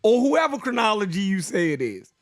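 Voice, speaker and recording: A man's voice: high voice, big pitch swings, fairly quick, gravelly. A man co-hosting a podcast who teases the others and tries to steer the heated casual debate. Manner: teasing, moderating the argument. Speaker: podcast co-host.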